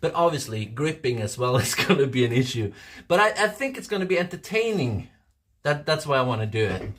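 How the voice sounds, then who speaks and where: A man's voice: medium pitch, sing-song, fairly quick, fairly bright tone. A Norwegian man in his forties with a Norwegian accent, chatting casually to his livestream viewers, rambling with frequent restarts and laughter. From Norway. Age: 40s. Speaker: livestream host.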